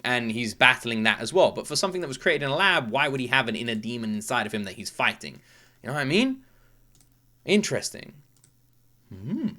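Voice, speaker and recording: A man's voice: mid-range voice, big pitch swings, fairly quick, bright, forceful tone. A man hosting a reaction video, talking casually and emphatically with informal, sweary enthusiasm.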